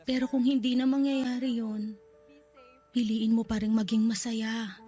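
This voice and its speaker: A woman playing a gravely ill young lover. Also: a little high, flat delivery, unhurried, faint music behind, natural voice. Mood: earnest. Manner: soft and pleading, voice breaking into tears. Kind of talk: radio drama acting.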